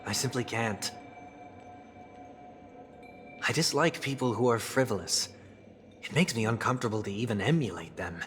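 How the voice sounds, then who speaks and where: An actor playing a refined, introspective man of rigid discipline in a video game, speaking in a measured, formal, earnest way. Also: lowish voice, expressive, fairly bright tone. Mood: resolute, solemn. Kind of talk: scripted dramatic game dialogue. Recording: background music under voice.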